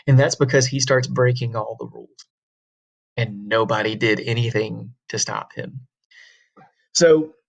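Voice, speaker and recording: A man teaching a history class in a folksy, conversational way, asking rhetorical questions as he tells stories. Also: mid-range voice. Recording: dull lo-fi classroom recording.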